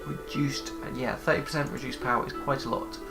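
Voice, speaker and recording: Male gamer with a head cold, casual and hesitant let's-play talk over clear game music. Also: medium pitch, steady tone, brisk. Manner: rambling, hesitant with many fillers. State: congested with a cold. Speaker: gaming channel host.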